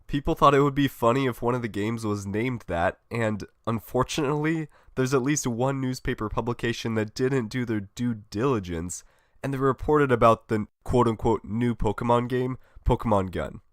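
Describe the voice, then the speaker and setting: A man's voice: mid-range voice, normal speed, no echo, clean studio sound. Upbeat man hosting a gaming podcast. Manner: upbeat, friendly, reads from a script. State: recovering from a cold. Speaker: podcast host. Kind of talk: solo gaming podcast monologue.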